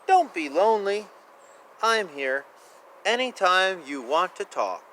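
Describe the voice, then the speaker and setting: A man's voice: high voice, big pitch swings, slow pace, bright, forceful tone. A man reading a picture book aloud to young children, playful and chatty, with exclamations and asides. He stretches words for emphasis. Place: outdoors. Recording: outdoor ambient noise, faint. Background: faint outdoor ambience.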